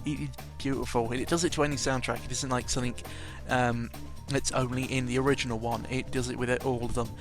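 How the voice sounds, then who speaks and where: A man's voice: mid-range voice, little pitch movement, fast talker, few pauses. Rambling man chatting over game music. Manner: rambling, jokey, self-interrupting with exaggerated emphasis. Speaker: video game vlogger. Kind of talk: casual rambling audio log commentary on games. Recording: music playing behind the voice. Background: clear background game music.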